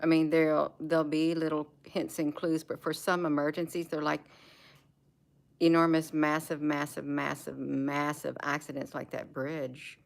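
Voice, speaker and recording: American woman, low register, casual home vlogger, unscripted and repetitive. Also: little pitch movement, normal speed, creaky. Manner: repeats words and phrases for emphasis. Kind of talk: casual rambling chat, showing gadgets. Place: indoors at home.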